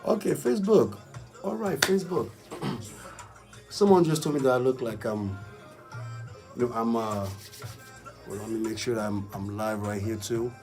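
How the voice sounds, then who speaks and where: A man with a raspy voice hosting a casual, improvised community radio show in an exclamatory hype style, repeating catchphrases. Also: medium pitch, unhurried, pauses often, over music. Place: radio studio.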